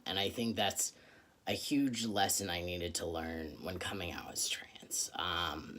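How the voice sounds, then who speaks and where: Low, bright-voiced young man vlogging. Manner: earnest, thoughtful, with frequent "um" pauses. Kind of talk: reflective personal vlog.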